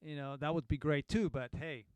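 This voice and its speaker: A man, a bass player co-hosting a studio livestream, talking in an easygoing way with frequent pauses and restarts. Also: steady tone, a little mellow, clean tone, no room sound. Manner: halting, many ums and restarts, easygoing. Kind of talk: casual livestream chat. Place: recording studio.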